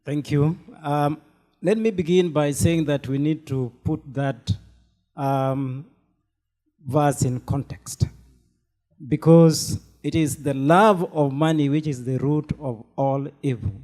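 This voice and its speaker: A man from Kenya, a panelist with a business doctorate, answering in a measured, deliberate way. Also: a little high, warm tone.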